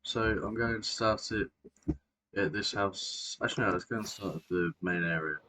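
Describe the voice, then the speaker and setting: A man's voice: on the low side, moderate pace, pushed and bright. A man, narrating a game tour casually, rambling and often correcting himself. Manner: rambling, self-correcting, many fillers. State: sore throat, clearing throat and coughing. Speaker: Minecraft video creator.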